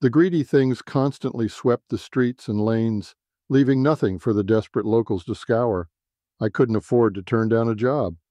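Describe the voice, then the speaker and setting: A man's voice: medium pitch, warm tone, no room sound. A man narrating a scripted first-person noir science fiction story.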